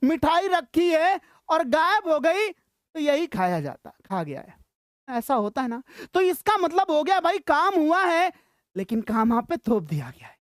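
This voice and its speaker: High-pitched Indian woman teaching. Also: very animated, unhurried, dry recording, clean studio sound. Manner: chatty, encouraging, checks understanding often. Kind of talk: informal grammar lesson mixing Hindi and English.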